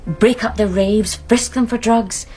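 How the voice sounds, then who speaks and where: An actress playing a casual, defensive young university student in a British radio drama, with a British accent and an offhand, colloquial delivery. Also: female voice, a little high, fairly even intonation, unhurried, warm tone, soft background music, lightly acted. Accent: British English.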